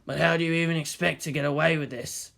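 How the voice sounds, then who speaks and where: A man's voice: on the high side, fairly even intonation, fast talker, vocal fry, a bit bright. A man voicing a laid-back, wry adult man who talks in a dry, musing way.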